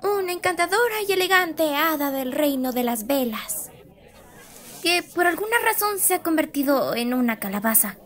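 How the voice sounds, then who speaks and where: Woman playing a high, perky young girl cat. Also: lively intonation, normal speed, a crowd in the background. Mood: playful showing off. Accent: Latin American. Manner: showy, presenting with flourish.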